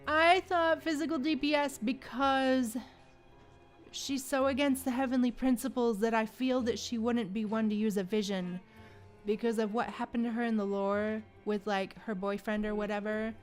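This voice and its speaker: A woman's voice: a little high, fairly even intonation, moderate pace. Chatty woman streamer with music behind. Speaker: livestream host. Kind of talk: casual gaming livestream chat with viewers.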